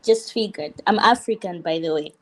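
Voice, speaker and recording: A woman's voice: on the low side, moderate pace. A woman from Malawi whose first language is Chichewa, chatting on a video call. She is chatty and forthcoming. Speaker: book club member. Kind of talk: casual chat on a video call.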